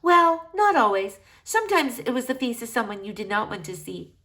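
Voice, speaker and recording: Woman voicing an effusive, high-pitched storybook lady doctor. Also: big pitch swings, sharp tone, full character voice. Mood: nostalgic, joyful. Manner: drawn-out, exclamatory, sentimental. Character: effusive, tender foreign lady doctor, sentimental. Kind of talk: acted children's storybook dialogue.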